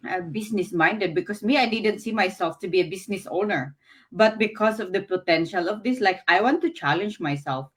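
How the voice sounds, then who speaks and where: A woman from the Philippines, speaking English as a later language, sharing her business experience in a casual, earnest way with many fillers and pauses. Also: on the low side. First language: Filipino language. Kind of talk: casual testimonial talk. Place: Canada.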